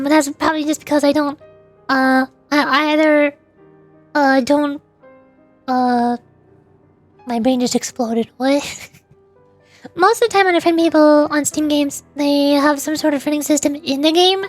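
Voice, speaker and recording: A young woman talks casually to her stream in a notably high voice, wandering between thoughts and laughing as she goes. She sounds close to a desk mic. Quiet game music plays behind her. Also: steady tone, normal speed, long pauses. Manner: rambling and chatty, with frequent laughs and restarts.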